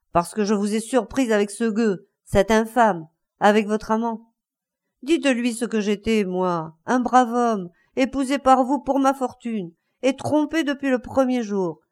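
A woman's voice: on the high side, very animated, moderate pace, pitched above the performer's own voice. A woman voicing a bitter, enraged older man, a betrayed husband in a story, breathless and insistent in his outbursts. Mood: furious, accusing.

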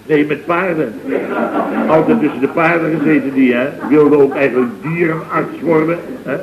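A man's voice: on the high side, fairly even intonation, moderate pace, reverberant, over a noisy crowd, close to an everyday voice. A blustering middle-aged boss played by a male actor gossips in a vintage radio comedy. He rambles, repeats himself for emphasis and mocks freely. The sound is dull and lo-fi. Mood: disdainful gossip. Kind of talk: 1970s Dutch radio comedy sketch acting. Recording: dull, lo-fi old radio recording.

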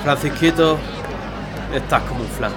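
A man's voice: mid-range voice, normal speed, natural voice. A man playing a teasing, jovial friend in an audio drama, with colloquial banter. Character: teasing, jovial male friend of a nervous groom. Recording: noisy street crowd behind.

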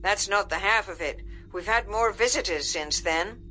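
Woman acting a gruff, barking general, pitched up. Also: moderate pace, pushed and bright, soft background music, natural voice. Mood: harsh, confident. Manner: barking, blunt, folksy slang. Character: gruff, confident American military general, harsh and impatient.

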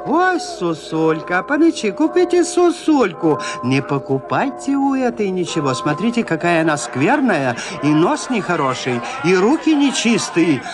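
Guy doing ukrainian market lady hawking stuff, high coaxing singsong, real pushy, audio play. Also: very animated, a little slow, few pauses, music in the background. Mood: coaxing, spiteful. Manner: calling out, coaxing sing-song. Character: pushy, sing-song Ukrainian market women hawking buns.

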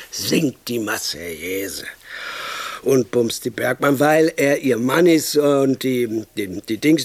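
An actor playing a pompous, crude and impatient film producer in a radio comedy, pitching movie ideas in a bossy, rambling, self-enthused way. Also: male voice, no echo. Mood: enthused, sentimental. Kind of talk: radio comedy monologue, improvised-sounding pitch.

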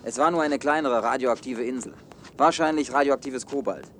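A male geologist with a middle-pitched voice, played by an actor in a science-fiction radio play. He sounds practical and sure of himself, matter-of-fact with a teasing edge. The recording carries faint rumbling noise. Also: normal speed, lightly acted. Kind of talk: German science-fiction radio drama acting.

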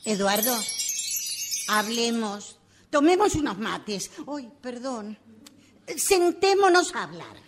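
A woman's voice: on the high side, very animated, slow pace, long pauses, a little hiss or hum. A woman with an Argentine accent playing a long-suffering, exasperated wife in a comic radio drama. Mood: coaxing. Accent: Argentine Spanish.

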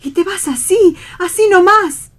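A woman's voice: very high voice, unhurried. An actress playing a fussy, possessive older mother in read radio theatre, pleading and indignant.

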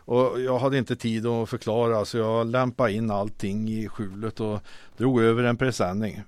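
A man acting a hesitant, understated, deadpan character with a secret past in an audio drama. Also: fairly low pitch, dry recording, lightly acted. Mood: sheepish.

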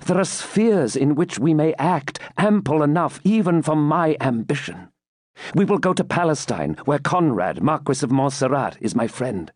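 A proud, domineering templar knight, as a man reads him aloud, speaking with fierce, impassioned command. His pitch rises and falls in a lively way. The sound is close and dry. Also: normal speed, no room sound. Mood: ardent.

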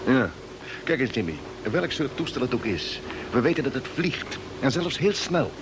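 An actor playing a steady, resolute expedition commander in an old Dutch radio drama, explaining things patiently and firmly. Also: male voice, mid-range voice, normal speed, close to an everyday voice. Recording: noisy old radio recording with ambience.

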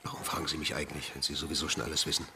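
Creaky, low-voiced, rueful ageing headmaster. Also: male voice, level tone, pushed and bright, a little hiss or hum, exaggerated voice. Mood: defensive. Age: middle-aged. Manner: halting, agitated, confessional. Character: defensive, rueful ageing male school headmaster having confessed an affair. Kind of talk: radio crime drama acting.

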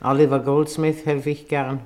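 A woman's voice: low voice, level tone, normal speed, darkish tone, close to an everyday voice. A woman playing a worldly, down-on-her-luck tavern singer with a saucy, familiar manner in a German radio play. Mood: sly.

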